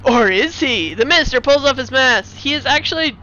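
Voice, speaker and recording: High bright-voiced woman reading a script comedically. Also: expressive, brisk, muffled recording. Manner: giggly, self-interrupting. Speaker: streamer reading a screenplay aloud. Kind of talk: comic dramatic reading with casual asides.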